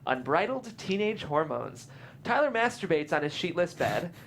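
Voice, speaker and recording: A narrator reading stage directions aloud at a script table read, amused and lively. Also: medium pitch, moderate pace, creaky, a little hiss or hum.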